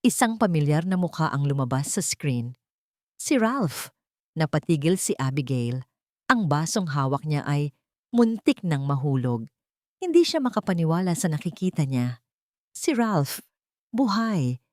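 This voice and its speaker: Low-pitched woman narrating a dramatic story. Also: big pitch swings, unhurried, pauses often, a little mellow, no echo, studio quality. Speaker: audiobook narrator.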